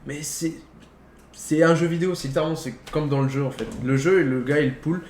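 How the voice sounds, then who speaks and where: A man's voice: on the high side, a little fast, darkish tone. Young man, slangy chat in an echoey room. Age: young adult. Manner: slangy, peppered with English words. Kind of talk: casual chat about films. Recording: roomy echo, faint background noise.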